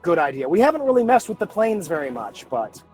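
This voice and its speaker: A man's voice: high-pitched, sing-song, a little fast, a little mellow, faint music behind. A man talking casually as a tabletop game player, reasoning aloud in a conversational way. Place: online group voice call, livestreamed game.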